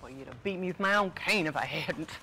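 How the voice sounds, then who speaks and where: An actress playing a crabby, gruff older sister in a stage play, a self-styled evil old crone. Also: female voice, sits low, animated, brisk, noisy background. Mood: resigned.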